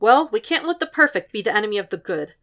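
A woman's voice: mid-range voice, big pitch swings, fast talker, pitched above the performer's own voice. A woman doing a brash, eager young man. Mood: earnest. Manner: eager, rising, loud bursts.

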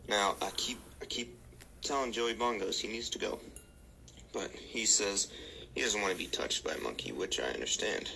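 A man playing a mock-earnest grooming expert in a comic spoof video, half whispering his pitch. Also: lively intonation, normal speed, vocal fry, sharp tone, a little hiss or hum, full character voice, rough recording. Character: man posing as a shaved-chimp grooming expert.

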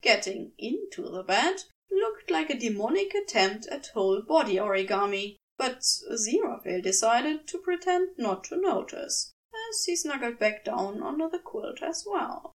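A woman narrates a story in a high, animated voice. She takes her time over each phrase, reading expressively from a script, and sounds a little away from the microphone. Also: moderate pace, hardly any pauses, recorded from a distance. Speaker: fan fiction podfic reader.

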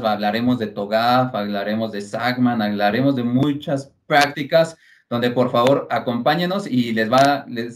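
A Mexican man co-hosts a podcast in a casual, conversational way. His voice sits at an average pitch, and he rambles informally as he explains things. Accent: Mexican Spanish. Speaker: podcast co-host. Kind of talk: casual chat.

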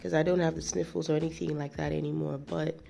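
A woman's voice: low-pitched, little pitch movement, fast, gravelly, mellow. A woman hosting her own podcast, still getting over a cold with a chesty cough, telling a rambling story in a casual, chatty way with frequent restarts and laughs. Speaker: podcast host.

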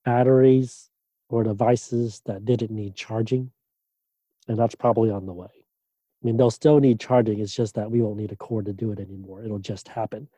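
A man, a doctoral student, answering interview questions in a relaxed way, pausing often with fillers and restarts. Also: fairly low pitch, no room sound. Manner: hesitant, many fillers and restarts.

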